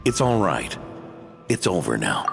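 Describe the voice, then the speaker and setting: An actor playing an aging, modest veteran knight who speaks calmly and with self-deprecation in a game cutscene, with background music. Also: male voice, sits low, unhurried, creaky, full character voice. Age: older. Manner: calm, reflective, self-deprecating. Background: background score.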